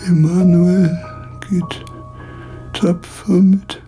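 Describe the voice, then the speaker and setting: An actor playing a frail, dying philosopher of nearly eighty in a radio play, halting, repeating words and trailing off. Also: male voice, sits high, little pitch movement, mellow, faint music behind. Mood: faint, resolute. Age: older. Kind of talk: German radio play acting.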